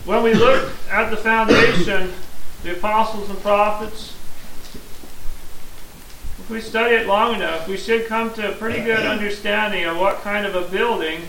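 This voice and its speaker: Gravelly high-voiced preacher in an echoey room. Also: male voice, fairly even intonation, moderate pace, fairly bright tone. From Missouri, USA. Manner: plain-spoken, earnest, explains scripture step by step. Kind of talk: sermon. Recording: echoey room from a distance, faint hiss.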